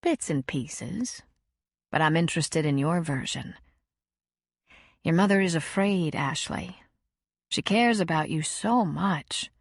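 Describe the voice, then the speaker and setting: A woman reading as a calm, patient counselor. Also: low-pitched, takes it slowly, gravelly, long pauses, no room sound, studio quality, full character voice. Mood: probing. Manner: gentle, probing questions.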